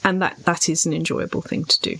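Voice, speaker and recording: A woman's voice: lowish voice, fairly even intonation, brisk, vocal fry, a bit bright, breathy edge. A woman podcast co-host talking conversationally and articulately about writing, in a reflective way with little acted-out asides. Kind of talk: conversational literary discussion.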